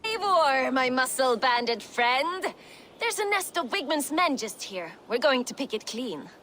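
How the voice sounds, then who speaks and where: A woman's voice: high voice, very animated, measured pace, pushed and bright, a little hiss or hum, exaggerated voice. An actress playing a brash, cheerful Viking smuggler turned warrior woman in a video game, with a playful, earthy swagger. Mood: playful, cocky. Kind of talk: video game cutscene acting.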